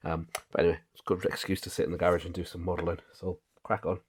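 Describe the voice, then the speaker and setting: Brief: male hobby vlogger, deep voice, stuffy from a cold, easygoing step-by-step chat from his garage. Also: rapid-fire, slightly rough. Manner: chatty, relaxed, talks through each step with fillers. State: bunged up with a head cold, a little breathy. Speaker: channel host and model railway builder. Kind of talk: casual how-to vlog narration. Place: home garage workshop.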